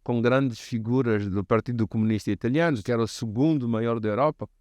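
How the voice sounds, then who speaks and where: A man's voice: medium pitch, fairly quick, a little mellow, no echo. A man with a Mozambican accent hosting a reflective interview, talking in a discursive, professorial way. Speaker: host.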